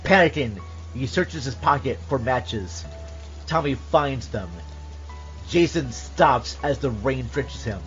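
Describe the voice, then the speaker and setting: A man's voice: medium pitch, lively intonation, takes it slowly, a bit bright, faint music behind. A man reading a scripted scene aloud as a podcast host, with emphatic, suspenseful delivery. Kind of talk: dramatic script narration and casual chat. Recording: dull lo-fi audio.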